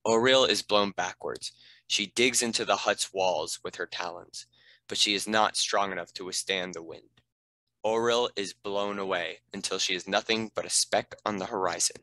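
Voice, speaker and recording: A man's voice: on the low side, normal speed, pushed and bright, no echo, low-quality recording. A man reading scripted narration and stage directions for a fantasy script read.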